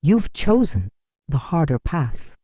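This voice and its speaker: A narrator voicing a wise, sorrowful old male elder in a folktale, giving grave, measured counsel. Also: mid-range voice, very animated, mellow, dry recording, studio quality.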